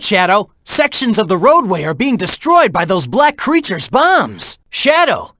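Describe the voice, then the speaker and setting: An actor voicing a cocky, upbeat teenage hedgehog hero in a video game, cheeky and encouraging. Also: male voice, high voice, no room sound, studio quality, exaggerated voice. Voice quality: youthful, bright, energetic. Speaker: video game character voice clips. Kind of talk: game voice acting, mission hints. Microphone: dry studio mic.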